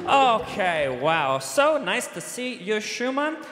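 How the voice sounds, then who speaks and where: A goofy dead Hungarian composer hosting a chat show, with a put-on Hungarian accent. The voice sits very high and swings widely. Long hall reverb with music behind. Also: male voice, big pitch swings, slow, exaggerated voice. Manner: silly, playful talk-show host banter. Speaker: comedy sketch character. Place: large concert hall stage.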